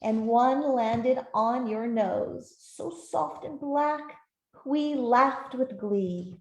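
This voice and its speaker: A woman reading a picture book aloud to children in a gentle, expressive storytelling manner. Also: on the high side, extremely slow, lo-fi sound. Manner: gentle, expressive, with soft asides to listeners. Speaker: author reading her own picture book. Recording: roomy, echoey sound.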